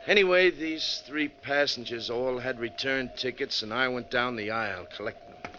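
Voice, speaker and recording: An actor playing a wounded, sardonic American bus driver recounting a grim story in slangy 1940s radio drama style. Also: male voice, medium pitch, moderate pace, pushed and bright, slight background noise, close to an everyday voice. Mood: grim storytelling. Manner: folksy, hard-boiled, slangy. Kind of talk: 1940s radio drama acting, slangy first-person narration. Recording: dull vintage radio recording, music behind.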